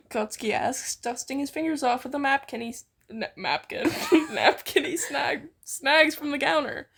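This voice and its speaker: A woman's voice: high-pitched, expressive, moderate pace, fairly bright tone. A young woman reading fan fiction aloud informally, with playful, slangy, teasing banter. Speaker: amateur fan fiction reader.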